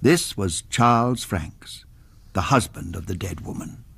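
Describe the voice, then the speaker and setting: An actor playing a grave narrator, telling a historical trial in dramatic scripted radio narration with a husky voice. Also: male voice, low voice, expressive, measured pace, a bit bright, a little hiss or hum, exaggerated voice.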